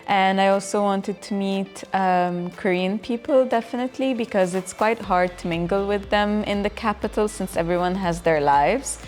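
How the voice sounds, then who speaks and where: A woman in her twenties from Egypt answers interview questions in a thoughtful, earnest way. Soft music plays faintly behind her. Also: mid-range voice. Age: 20s. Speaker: trip participant.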